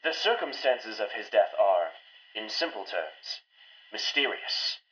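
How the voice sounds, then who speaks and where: A man's voice: fairly low pitch, very animated, sharp tone, heavily acted. A man playing a ceremonious, fussy household butler in an old-time radio melodrama. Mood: reading solemnly. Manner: ceremonious, deliberate delivery. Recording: dull, band-limited old-time radio sound.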